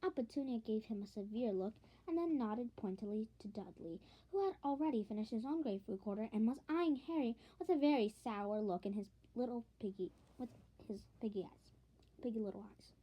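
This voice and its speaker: A woman narrates a storybook in a high, soft-edged voice. Her reading is expressive and scripted, with an occasional stumble that she goes back over. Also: fairly quick, poor audio. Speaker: audiobook reader.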